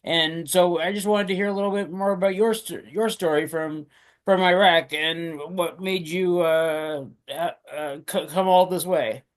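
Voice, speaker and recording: A man interviewing a guest, asking questions haltingly and repeating words often. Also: high voice, steady tone. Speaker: student interviewer.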